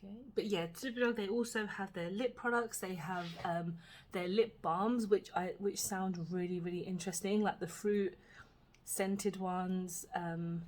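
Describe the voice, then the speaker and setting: Brief: British woman beauty vlogger, mid-pitched, chatty and rambling, voice slightly husky, recorded at home. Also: steady tone, a little slow, darkish tone. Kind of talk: casual makeup tutorial chat.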